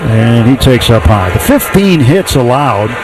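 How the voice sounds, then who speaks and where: A man doing live baseball play-by-play for radio, with measured phrasing, pauses and clipped stat recaps. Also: mid-range voice, big pitch swings, background noise. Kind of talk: live radio sports commentary.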